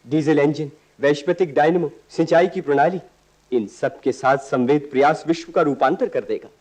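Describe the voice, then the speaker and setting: A man's voice: on the high side, moderate pace, a little mellow, lightly acted. A male actor playing an impassioned, stubborn young idealist in a radio play, with earnest, oratorical delivery. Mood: impassioned, lecturing. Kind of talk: Hindi radio play acting.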